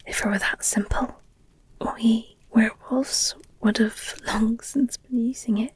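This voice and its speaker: A woman playing an affectionate, candid werewolf girlfriend in an intimate ASMR audio roleplay, speaking tenderly and hesitantly in a breathy whisper. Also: fairly high pitch, heavily acted. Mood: thoughtful, gentle. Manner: slow, tender, hesitant, confiding. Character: affectionate werewolf girlfriend, gentle, candid, wistful about her past.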